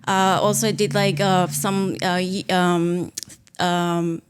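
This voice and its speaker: A woman's voice: on the low side, level tone, slow, slight background noise. A woman in her thirties from Peru, a native Spanish speaker, telling her own story casually as a podcast guest, sometimes searching for words. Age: 30s. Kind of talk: casual personal account.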